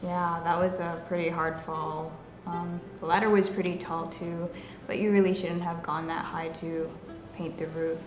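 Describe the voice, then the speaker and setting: A woman's voice: fairly low pitch, level tone, normal speed, faint music behind, close to an everyday voice. A young woman playing a concerned coworker urging a friend in an amateur drama. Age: teenager or young adult. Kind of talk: amateur scripted drama dialogue. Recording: roomy echo, clear background noise.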